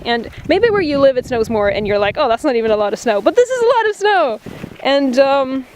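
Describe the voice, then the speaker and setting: A young woman from Norway filming herself outdoors in deep snow on a windy coast, excited and laughing loudly. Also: fairly quick. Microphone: handheld camera.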